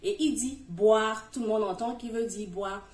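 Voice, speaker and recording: A middle-aged woman speaking straight to her viewers, giving encouraging advice with emphatic swings between loud and soft. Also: fairly high pitch, moderate pace. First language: French. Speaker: YouTuber giving language-learning tips. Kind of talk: direct-to-camera advice video. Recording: roomy, distant from the mic.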